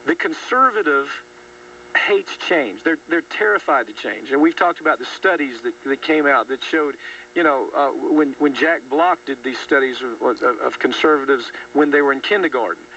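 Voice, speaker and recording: A man's voice: medium pitch, moderate pace, bright, forceful tone. A man hosting a political talk radio show, talking indignantly in a rambling way with many restarts. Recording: distant, muffled radio rebroadcast with faint hum.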